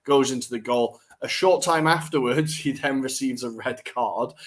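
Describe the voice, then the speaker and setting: A man's voice: medium pitch, normal speed. A man co-hosting a podcast, chatting casually and bluntly through a football recap and laughing easily. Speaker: podcast co-host.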